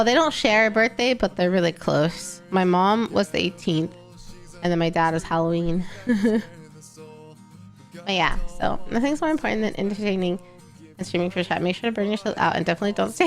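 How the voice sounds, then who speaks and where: Congested, gravelly woman streamer chatting. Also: mid-range voice, lively intonation, normal speed, faint music behind. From Texas. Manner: rambling, self-correcting, chatty. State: congested, nasal. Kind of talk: casual chat with live chat.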